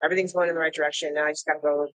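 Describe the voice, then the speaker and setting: A woman's voice: deep voice, little pitch movement, very fast, no echo, poor audio. A woman in her thirties co-hosting a casual podcast, nasal and congested from a cold, rambling with lots of fillers. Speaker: podcast co-host and bikini coach. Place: indoor living room. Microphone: tabletop mic.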